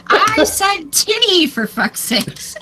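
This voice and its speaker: A woman's voice: very high-pitched, very animated, slow pace, sharp tone. A Canadian woman in casual group chat on a video call, laughing often and tripping over her first words. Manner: laughs often, repeats words when starting. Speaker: discussion participant.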